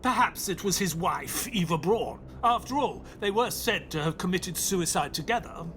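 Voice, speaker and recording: An actor playing an earnest, curious doctor and loyal sidekick in an audio drama, polite, questioning and easily astonished. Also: male voice, high-pitched, lively intonation, normal speed, fairly bright tone, a little hiss or hum. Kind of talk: audio drama acting with first-person narration.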